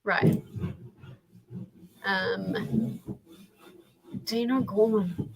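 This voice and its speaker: A woman's voice: mid-range voice, fairly even intonation, extremely slow, creaky, breathy edge, poor audio. A woman hosting a livestream, chatting casually. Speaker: host of a livestream.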